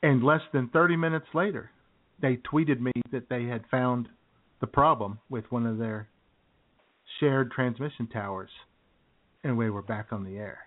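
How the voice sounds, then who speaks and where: A man's voice: mid-range voice, moderate pace. A middle-aged man, co-hosting a radio comedy show and telling rambling stories in casual banter. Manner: chatty, rambling storyteller with chuckles. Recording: tinny phone-line sound.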